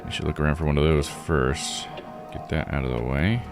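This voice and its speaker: A deep-voiced man talks through a game in a casual live style. He rambles as he explains and reasons things out. Game music plays behind him. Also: fairly even intonation, normal speed, gravelly. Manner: rambling, explanatory, thinking aloud. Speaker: streamer playing and recapping a visual novel. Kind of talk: casual live let's-play commentary.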